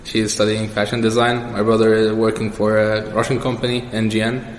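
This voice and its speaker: Low, even-toned young man in an echoey room. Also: a little fast. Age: young adult. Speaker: interview guest. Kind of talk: casual personal interview. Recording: echoey reverberant room with background noise.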